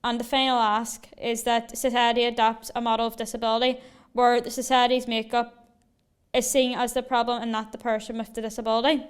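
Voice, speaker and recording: A young woman from Northern Ireland reading a prepared rally speech at a measured, deliberate pace. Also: on the high side, flat delivery, clear, resonant tone. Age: young adult. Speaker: rally speaker. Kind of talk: prepared speech read aloud. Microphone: video call.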